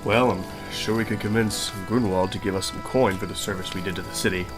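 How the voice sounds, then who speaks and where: A steady, big-hearted adventurer leading his party played by a man. The voice sits fairly low, with light music behind it, in a fantasy audio play. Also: brisk, close to an everyday voice. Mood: easygoing. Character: steady, generous male adventurer, leader of the party. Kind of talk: fantasy audio drama acting.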